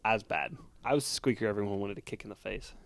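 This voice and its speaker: A young man co-hosting a casual comedy podcast, telling animated stories and laughing often. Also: fairly low pitch, fast, creaky. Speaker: podcast co-host.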